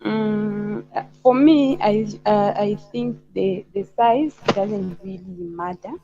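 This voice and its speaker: A woman with a Zambian accent shares her views as a caller on a live show. Her voice is mellow and mid-pitched, her phrasing halting, and the call audio is a little dull. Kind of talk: conversational opinion and personal account. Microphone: remote call connection.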